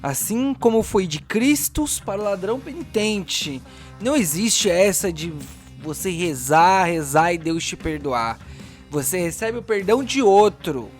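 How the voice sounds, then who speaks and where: A man's voice: high voice, animated, unhurried, faint music behind, voice pushed up. A man voicing a zealous cathedral guard, emphatic and foul-mouthed as he preaches in a tabletop game audio drama. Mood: insistent, fanatical. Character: zealous male cathedral guard, preaching crude doctrine to a novice.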